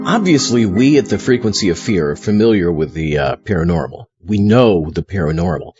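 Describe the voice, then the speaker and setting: A man playing an earnest, easily exasperated zombie astronaut host in a comedy audio drama, explaining things haltingly. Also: sits low, lively intonation, a little mellow, muffled recording, faint music behind.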